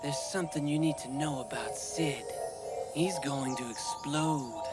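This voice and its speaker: A woman's voice: low voice, unhurried. A woman voicing a plucky young boy hero in a cartoon, earnest and exclamatory. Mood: urgent warning. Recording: loud music behind.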